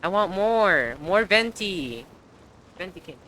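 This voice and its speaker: A man's voice: sits high, big pitch swings, slow, bright, forceful tone, a little hiss or hum, low-quality recording. A young man giving casual, informal, reactive commentary while livestreaming a video game. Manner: informal, sweary. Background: game music.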